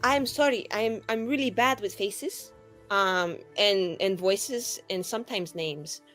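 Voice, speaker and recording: A woman playing a tabletop roleplaying game, speaking in a halting, rambling, improvised in-character style with casual asides. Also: medium pitch, a bit bright, faint music behind.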